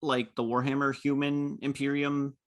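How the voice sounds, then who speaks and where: A man's voice: mid-range voice, little pitch movement, moderate pace. Easygoing joking man. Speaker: podcast co-host. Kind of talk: casual podcast chat.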